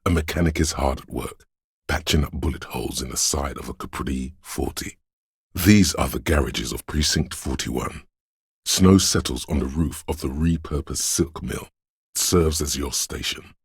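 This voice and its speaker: A man voicing a brooding, all-seeing inner voice in a video game, narrating slowly and solemnly in a hushed, gravelly husky tone. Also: rumbling low, dry recording, clean studio sound, heavily acted. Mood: grim, somber. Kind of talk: slow atmospheric scripted game narration.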